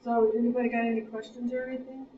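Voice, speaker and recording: A woman's voice: a little high, little pitch movement, quick pace, slight background noise. An Appalachian American woman leading a small workshop on essential oils, chatting casually and listing blends to her group. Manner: friendly, chatty, lists items off. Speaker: workshop leader handing out essential oil blends. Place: meeting room, small group. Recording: distant roomy recording, muffled.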